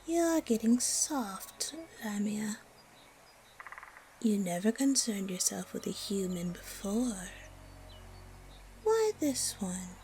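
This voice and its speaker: A woman playing a haughty, suspicious, disdainful spider monster in a fantasy audio roleplay, with a breathy, often whispery voice. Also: mid-range voice, very animated, dark tone, slight background noise. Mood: grudging, disdainful. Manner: slow, disdainful, haggling.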